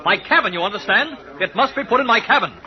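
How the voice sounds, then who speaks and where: A touchy, secretive artist. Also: male voice, high voice, animated, fast talker, crowd noise. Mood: irritable. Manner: curt, defensive, irritable. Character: secretive, touchy male artist, quick-tempered. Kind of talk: 1940s radio acting. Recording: dull old radio recording.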